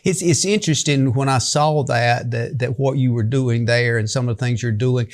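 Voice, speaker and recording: A man's voice: mid-range voice, moderate pace. A man hosting a talk show interview, asking long, rambling questions and often repeating words as he builds up to them. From Eastern North Carolina. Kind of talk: casual interview.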